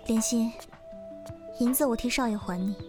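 A woman's voice: on the high side, moderate pace, over music, natural voice. A woman acting a stern, jealous young wife who runs an old Chinese household, speaking in a haughty, accusing way in an audio drama. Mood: cold, suspicious.

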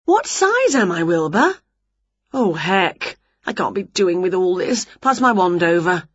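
A woman voicing an excitable, daft storybook witch who grumbles and exclaims in colloquial turns of phrase. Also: lowish voice, a little slow, breathy edge, highs cut off, full character voice. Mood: excited, fussy. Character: excitable scatty witch with skinny legs, daft and cheeky.

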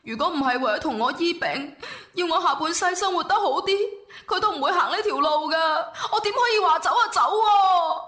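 A woman's voice: very high-pitched, animated, a little fast, bright, forceful tone, reverberant, full character voice. A woman acting a devoted, worried young gangster's sister and girlfriend in a Cantonese radio drama, emotive and urgent. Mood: caring, torn. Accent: Cantonese, Guangdong. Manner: urgent, emotive, scolding yet caring.

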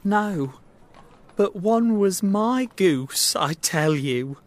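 Man acting a high-pitched, nervous little man. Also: animated, slow pace. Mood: pleading. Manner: timid, pleading, agitated. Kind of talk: acted audiobook storytelling.

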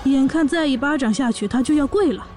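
A woman's voice: sits high, brisk, close to an everyday voice. A woman playing a brash, boastful pregnant orc warrior, a sassy and bossy older sister, in a fan audio drama. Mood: nostalgic, boastful. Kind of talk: audio drama acting and narration.

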